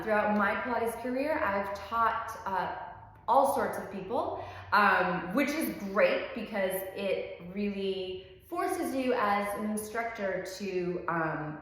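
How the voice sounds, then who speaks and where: A woman pilates instructor in her thirties, originally from France, chats through her story at an easy pace, filling pauses with ums. Her voice sits at a middle pitch and rings out in a very echoey room with a long reverb tail. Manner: easygoing, frequent ums, warm enthusiasm. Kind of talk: relaxed personal introduction.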